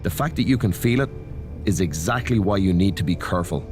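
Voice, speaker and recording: An actor playing a cautious, earnest wrestling mentor in a video game cutscene, speaking in a grave, measured way. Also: male voice, sits low, lively intonation, over music. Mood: wary. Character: grave, cautious male wrestling mentor wary of dark power.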